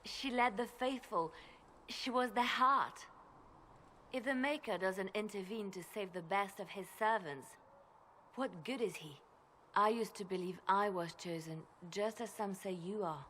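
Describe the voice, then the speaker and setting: A woman voicing a grave, guarded and devout spymaster in a video game, measured and brooding. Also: mid-range voice, a bit bright, a little hiss or hum, lightly acted. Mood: bitter grief. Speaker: spymaster with agents. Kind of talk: dramatic video game voice acting.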